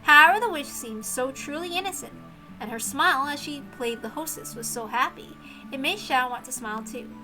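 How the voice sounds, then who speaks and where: A woman reading a story aloud as narrator, in an expressive scripted storytelling style with music behind her. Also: sits high, brisk, rough recording. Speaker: reader and narrator. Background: background music.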